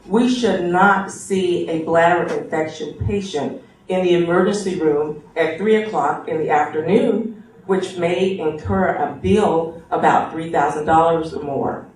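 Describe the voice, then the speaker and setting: Man, panel guest, earnest and halting, slightly high voice, distant mic with heavy room echo. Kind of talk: debate remarks. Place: tobacco shop, live radio show. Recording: very echoey room from far off.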